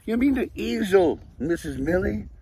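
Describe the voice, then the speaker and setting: A man voicing a class of young schoolchildren, speaking high and loud as they correct their teacher. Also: animated, normal speed, gravelly, a little hiss or hum, poor audio. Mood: exasperated.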